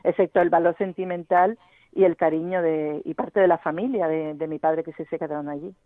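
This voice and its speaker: A woman's voice: on the low side, fairly even intonation, brisk, no room sound. Woman on a tinny phone line. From Gran Canaria, Spain. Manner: thoughtful, hesitant with many fillers and restarts. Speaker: novelist, interview guest. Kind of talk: radio interview.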